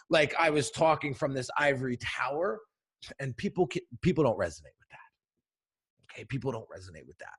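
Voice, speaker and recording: A man with a deep voice, a brand coach giving an energetic teaching talk straight to viewers, often checking in with "right?" and "okay?". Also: slightly rough. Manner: energetic, emphatic, addresses viewers directly with frequent "right?" and "okay?". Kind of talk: instructional video talk.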